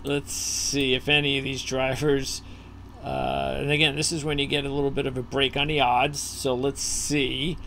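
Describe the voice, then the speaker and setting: Gravelly host talking through a list. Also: medium pitch, flat delivery, normal speed, a bit bright, slight background noise. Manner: thinks aloud, with frequent fillers while scanning a list. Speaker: host of a racing betting preview. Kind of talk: casual chat over a video call. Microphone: video call.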